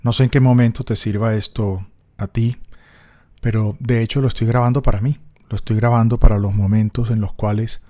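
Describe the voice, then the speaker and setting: Colombian man, middle-aged, low warm voice, unhurried reflective storyteller. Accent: Colombian Spanish. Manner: thoughtful, unhurried storytelling with pauses. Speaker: speaker recording a personal reflection. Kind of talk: reflective spoken monologue.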